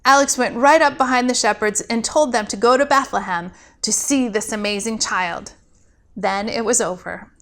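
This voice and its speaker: A woman telling a children's Christmas story as an expressive read-aloud, in the manner of a storytelling host. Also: fairly high pitch, moderate pace. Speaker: pastor and storyteller, show host.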